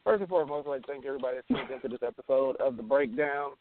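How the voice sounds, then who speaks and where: A man's voice: mid-range voice, racing. Male radio host, slangy and playful, profane banter, thin hissy phone audio. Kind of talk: casual joking internet radio banter. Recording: thin phone line with hiss.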